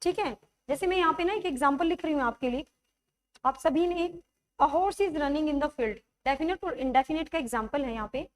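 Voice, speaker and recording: High-voiced woman teacher, sore throat. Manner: coaxing, checks understanding often; writes examples while speaking, slows to dictate them. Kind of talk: live online grammar class.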